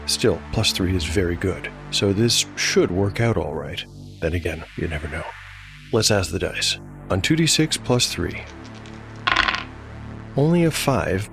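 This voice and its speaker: Deep-voiced male fantasy narrator over music. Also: creaky. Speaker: podcast host, game master and narrator. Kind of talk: scripted dramatic fantasy narration. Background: music bed.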